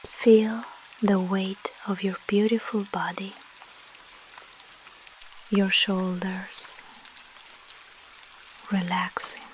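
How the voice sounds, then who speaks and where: A woman with a Russian accent softly whispers a soothing ASMR sleep hypnosis, in short phrases with long pauses, guiding the listener as a breathy hypnotist. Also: medium pitch, a little hiss or hum, rough recording. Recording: steady rain sound behind voice. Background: rain.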